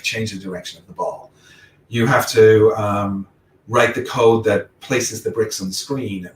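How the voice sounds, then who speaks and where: Low-voiced man with a mixed accent, roomy. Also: far from the mic, a little hiss or hum. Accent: mixed Irish, Welsh, English and American. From Ireland, Wales, England and the United States. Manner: explanatory, walking through examples step by step. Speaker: guest speaker. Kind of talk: technical talk.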